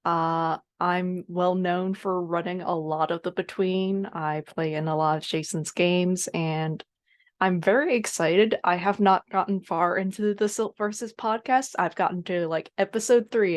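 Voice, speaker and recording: A woman with a slightly low voice introduces herself as a player. She talks in a casual way on an online group call.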